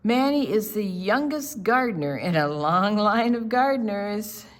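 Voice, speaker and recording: An older woman reading a picture book aloud to children, expressively, pausing to explain the pictures. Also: medium pitch, expressive. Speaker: storytime reader.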